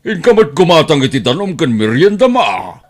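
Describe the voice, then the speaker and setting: A man's voice: on the high side, sing-song, moderate pace. A man playing an easygoing but bossy middle-aged uncle in an Ilocano audio drama, with an Ilocano accent.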